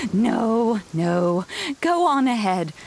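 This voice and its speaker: An actress playing a kindly old grandmother in a video game, speaking in a measured, fond and gently admonishing way. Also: on the high side, very animated, gravelly, slight background noise, full character voice. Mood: reassuring. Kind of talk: scripted game character dialogue.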